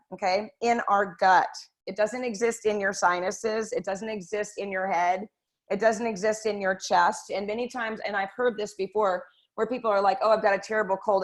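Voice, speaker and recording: Earnest woman hosting a health talk. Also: medium pitch. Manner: earnest, direct, addresses viewers as "you guys". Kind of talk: casual motivational health talk. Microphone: computer microphone.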